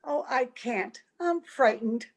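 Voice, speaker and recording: A woman's voice: a little high, expressive, takes it slowly, full character voice. A woman playing an anxious, affectionate young-looking wife in an old-time radio play. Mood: anxious, pleading. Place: home, over a video call.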